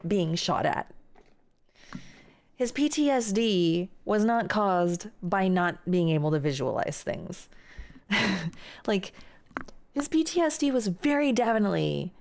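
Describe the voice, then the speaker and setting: Gravelly-voiced woman streamer chatting. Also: mid-range voice, darkish tone. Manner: chatty, reads viewer messages aloud and answers them. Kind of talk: casual chat reading chat aloud.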